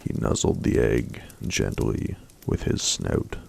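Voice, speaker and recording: A man reading a fantasy story aloud in a slow, soft-spoken ASMR style, much of it half-whispered. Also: level tone. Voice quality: very deep gravelly voice.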